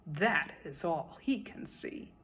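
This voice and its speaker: A woman reciting a children's story in verse as a storyteller, with expressive, dramatic and deliberate phrasing. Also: low voice, a little hiss or hum.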